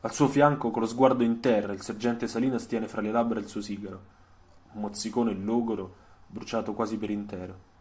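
A man reading a scripted literary story for radio, in a measured, dramatic storytelling way. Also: fairly low pitch, fairly even intonation, a little mellow.

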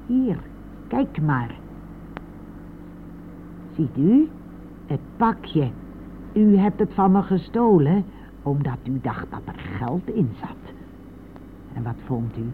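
A woman's voice: low voice, big pitch swings, takes it slowly, long pauses, phone-quality sound, exaggerated voice. Radio play role: wounded, insistent old mother, anguished and unyielding; thin, slightly noisy vintage sound. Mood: accusing, indignant. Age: older. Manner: insistent, aggrieved, dropping into near-whispers. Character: anguished, stubborn elderly mother of a grown son.

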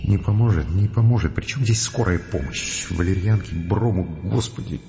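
An actor playing an overwrought, frightened scientist and loving husband in a Russian audio play, muttering agitatedly in short bursts. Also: male voice, deep voice, normal speed, creaky, dark tone. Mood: panicked, pleading. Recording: muffled studio audio play.

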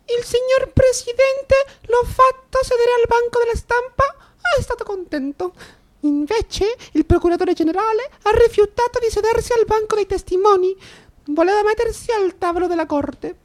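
A woman's voice: very high-pitched, moderate pace. A woman playing an officious, deferential court attendant in a radio drama, with formal, theatrical announcements. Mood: officious, chatty. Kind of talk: stage-style radio drama acting.

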